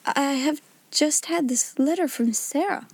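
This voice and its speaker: Woman acting high-voiced, earnest schoolgirl. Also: moderate pace, dark tone, dry recording. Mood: stunned. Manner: stout, earnest explaining. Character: round-faced, half-stunned, earnest schoolgirl bearing news.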